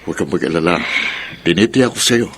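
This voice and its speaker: Deep-voiced, reassuring protector. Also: male voice, expressive, brisk, slight background noise. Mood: protective, urgent. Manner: polite, reassuring. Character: protective, reassuring man sheltering a threatened family. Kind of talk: radio drama acting.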